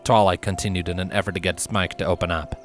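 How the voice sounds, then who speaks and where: A man reading a fiction story aloud as its narrator, in an expressive scripted style. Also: low-pitched, fast talker, faint music behind.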